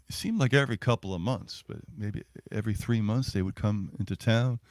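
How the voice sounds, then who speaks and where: A middle-aged or older man, a musician, chatting casually to an online audience in a halting, rambling, reminiscing way. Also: fairly low pitch, dry recording, clean studio sound. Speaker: singer and musician hosting a livestreamed set. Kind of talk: casual between-song chat to an online audience.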